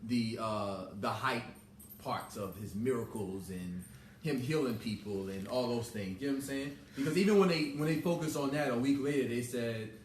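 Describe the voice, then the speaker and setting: A man's voice: medium pitch, normal speed, echoey room, a little hiss or hum. A man in his thirties co-hosting a talk show, earnest and faith-minded. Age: 30s. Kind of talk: casual talk show chat.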